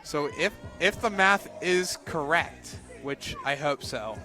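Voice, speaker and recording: A man with a slightly high voice works as a play-by-play announcer on a softball broadcast. He calls each pitch and count live, outdoors at the field. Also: expressive, takes it slowly, faint music behind.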